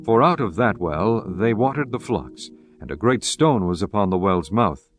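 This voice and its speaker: A man narrating scripture in a measured, reverent manner. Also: lowish voice, expressive. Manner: measured, reverent, archaic diction. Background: guitar music.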